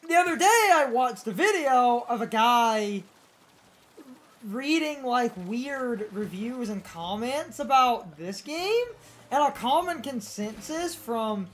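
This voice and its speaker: A young woman co-hosting a let's play with casual, animated gaming commentary. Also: on the high side, slow. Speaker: let's play co-host and editor. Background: video game music.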